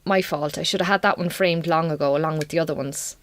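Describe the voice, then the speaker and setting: An actress playing a weary, wry Irish farm wife in a radio drama, blunt but warming, with an Irish accent and colloquial turns of speech. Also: female voice, low-pitched, steady tone, quick pace, a little hiss or hum, close to an everyday voice. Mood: animated, friendly.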